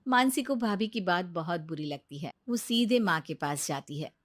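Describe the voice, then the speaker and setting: A woman's voice: on the low side, expressive, moderate pace. A woman delivering scripted narration as the storyteller of a moral tale.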